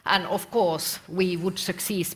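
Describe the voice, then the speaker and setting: A woman's voice: on the low side, steady tone, unhurried, a bit bright, husky. A woman speaking on a conference panel from a company view, confident and emphatic. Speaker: corporate panelist. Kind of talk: panel discussion.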